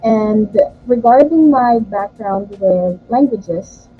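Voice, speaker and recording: Young woman, from the Philippines, Tagalog native, earnest steady talk, slightly muffled call audio. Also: medium pitch, slow pace, breathy edge. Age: young adult. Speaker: guest language tutor. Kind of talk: casual interview over video call. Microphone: video call.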